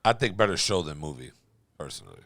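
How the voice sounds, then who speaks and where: A man's voice: a bass voice, big pitch swings, quick pace, vocal fry, a bit bright, dry recording, clean studio sound. A man hosting a casual podcast, speaking bluntly and swearing freely. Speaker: podcast host. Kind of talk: casual group podcast chat.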